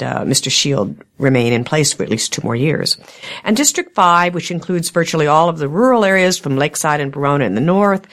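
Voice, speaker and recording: A woman hosting a local public affairs talk show, hoarse today, speaking in a steady, measured news style. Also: deep voice. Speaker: host.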